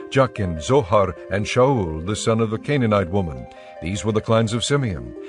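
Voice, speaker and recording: A man with a lowish voice narrates scripture in a measured, formal way. Faint music sits behind his scripted reading.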